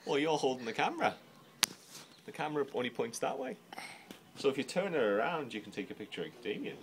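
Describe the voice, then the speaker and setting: A man's voice: mid-range voice, big pitch swings, fast talker. A middle-aged man chatting casually in short, encouraging phrases to a small child, as his father. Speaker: father filming his young son. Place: outdoors on a patio. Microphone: camera held in hand. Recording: noisy handheld home video.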